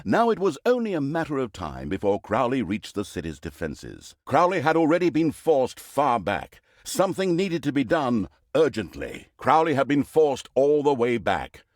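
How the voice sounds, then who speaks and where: A man's voice: medium pitch, no echo, studio quality. A male actor playing a pompous, sardonic storyteller who narrates a fantasy video game with theatrical, mock-grandiose delivery.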